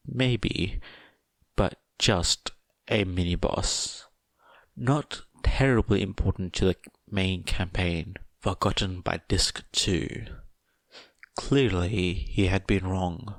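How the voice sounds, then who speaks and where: A man narrating scripted fiction as an audiobook reader, in a measured, dry manner. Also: sits low, no echo.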